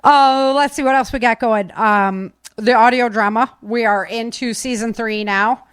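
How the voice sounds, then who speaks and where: A woman's voice: a little high, unhurried, smooth, no echo. A woman chatting casually and informally as a live podcast host, with breezy, slangy talk. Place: home office.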